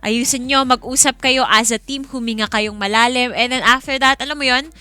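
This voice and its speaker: Bright, high-voiced Filipino woman esports host. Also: steady tone, brisk, smooth, no echo. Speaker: esports caster and panel host. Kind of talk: esports panel analysis, casual and bilingual. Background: faint background music.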